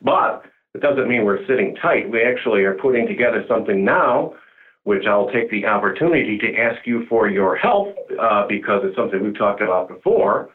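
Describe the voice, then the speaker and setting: Low-voiced man on a muffled, phone-like line. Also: animated, moderate pace. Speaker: community theater director, interview guest. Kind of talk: casual interview chat. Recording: muffled narrowband phone-like audio.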